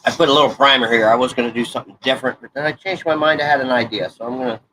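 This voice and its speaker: A man's voice: mid-range voice, fairly even intonation, racing. Brief: stuffed-up man in his 40s, folksy rambler, plain mid voice, faint noise behind. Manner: folksy, rambling, self-correcting. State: congested, stuffed-up nose. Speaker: hobby crafting vlogger. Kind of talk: casual rambling chat while crafting.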